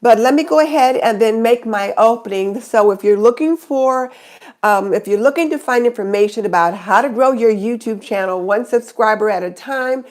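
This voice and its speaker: A woman's voice: fairly high pitch, normal speed. A middle-aged woman hosting her own live stream, chatting casually and greeting viewers by name, with a stuffy nose from a cold. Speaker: YouTube channel host.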